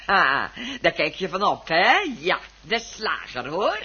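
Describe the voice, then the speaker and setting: An actress playing a sharp-tongued, suspicious, sarcastic wife in a radio play. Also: female voice, on the low side, lively intonation, slow, slightly rough, heavily acted. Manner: sarcastic, mocking, accusing. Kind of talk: Dutch radio play acting. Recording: dull old radio recording.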